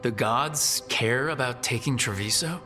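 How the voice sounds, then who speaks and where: A man's voice: medium pitch, measured pace, music in the background, close to an everyday voice. A man voicing a supportive, inquisitive hero in a video game, with a direct, questioning manner. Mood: probing.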